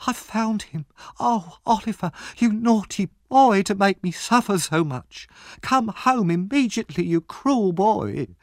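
A scheming young woman from a storybook, put on by a male reader, wailing and scolding dramatically. The pitch is very high and swoops widely. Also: animated, measured pace, no echo, clean studio sound. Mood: mock anguish. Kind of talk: audiobook character reading.

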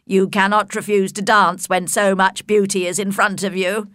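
A woman voicing a cheerful, courtly older gentleman host in a storybook, effusive and coaxing. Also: mid-range voice, little pitch movement, normal speed, no echo, clean studio sound, natural voice.

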